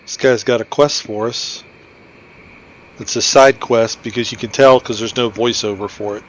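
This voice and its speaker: A man's voice: medium pitch, steady tone, normal speed. Casual male gamer commentating. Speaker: gaming video host. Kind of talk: casual game playthrough commentary.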